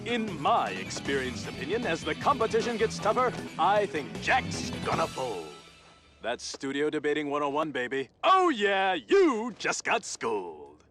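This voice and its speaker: Bombastic, high-pitched racing announcer. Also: male voice, huge pitch swings, a little slow, sharp tone, heavily acted. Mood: smug, taunting. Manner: showy, pompous, stretches words. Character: pompous, bombastic veteran male racing show commentator. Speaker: sports commentator. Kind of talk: over-the-top sports commentary in a video game. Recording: music under the voice. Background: music.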